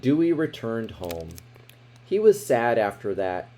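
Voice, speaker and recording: A man reading a children's picture book aloud in an expressive way, with dramatic emphasis. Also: mid-range voice, a little slow. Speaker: storybook reader.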